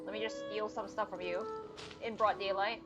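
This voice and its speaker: A woman's voice: medium pitch, steady tone, moderate pace, highs cut off. A woman giving casual live commentary as a game streamer, blunt and sarcastic. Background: game music.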